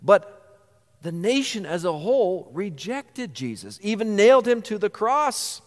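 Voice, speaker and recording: A man's voice: high voice, very animated, unhurried. A man preaching a sermon as pastor, earnest, posing rhetorical questions to the congregation. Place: church sanctuary. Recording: church hall reverb.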